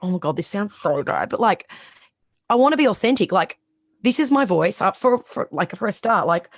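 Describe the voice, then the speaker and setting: A woman in her thirties with a raspy voice and a strong Australian accent, talking bluntly as a guest in a casual interview. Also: medium pitch, normal speed, dry recording. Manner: blunt, animated, swears freely. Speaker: sports reporter, guest.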